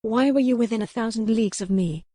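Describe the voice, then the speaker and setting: A woman's voice: fairly high pitch, fast, warm tone, husky, no echo, studio quality, pitched above the performer's own voice. A woman voicing a proud, resolute young noblewoman in a romance audiobook, impassioned, with formal old-fashioned phrasing. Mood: challenging. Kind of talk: dramatized romance audiobook dialogue.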